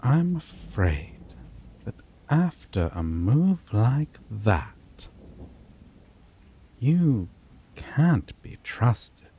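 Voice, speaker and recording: A man's voice: medium pitch, big pitch swings, dark tone, breathy edge, full character voice. A man playing a possessive, coldly polite vampire captor in an ASMR roleplay, speaking formally and theatrically with menacing affection. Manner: slow, formal, theatrical, endearments laced with threat. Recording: rain and thunderstorm noise behind. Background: rain and thunder.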